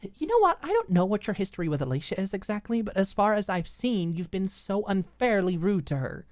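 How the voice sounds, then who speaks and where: Young woman acted by a woman narrator, irritated girlfriend, indignant, emphatic, defensive, raised pitch, audiobook drama, dry sound. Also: big pitch swings, fairly quick, a little mellow, no room sound, lightly acted. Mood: annoyed.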